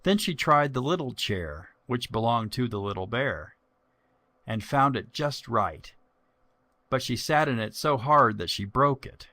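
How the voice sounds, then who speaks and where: A man narrating a children's story from a script in a measured, expressive way. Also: mid-range voice, no echo.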